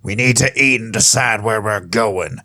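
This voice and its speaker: A man's voice: on the low side, normal speed, bright, forceful tone. A man voicing a stern, blunt and commanding griffin lawman in an audio drama.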